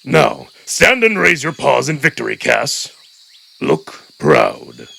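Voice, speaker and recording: A man voicing a commanding, impatient old wolf villain with a rough gravelly voice, barking curt orders in a visual novel drama. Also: mid-range voice, huge pitch swings, slow, pushed and bright, heavily acted.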